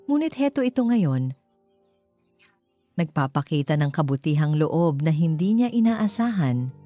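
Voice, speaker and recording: Woman, Filipino accent, low voice, mellow dark tone, scripted romance storytelling, music behind. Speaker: story narrator.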